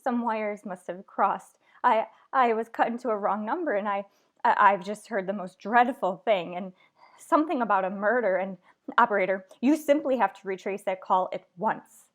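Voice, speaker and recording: A woman's voice: mid-range voice, normal speed, close to an everyday voice. An actress playing a nervous, demanding invalid wife in a suspense radio play, flustered and insistent as she talks. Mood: shaken, pleading. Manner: fretful, insistent, flustered, stammering. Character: frantic, imperious, nervous invalid wife home alone. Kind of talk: old-time suspense radio play acting.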